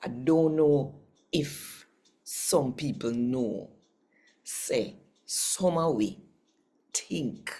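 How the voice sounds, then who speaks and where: Slow, deep-voiced Jamaican woman. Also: a little mellow. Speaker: scripture reader. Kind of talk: daily Bible reading in Jamaican Patois.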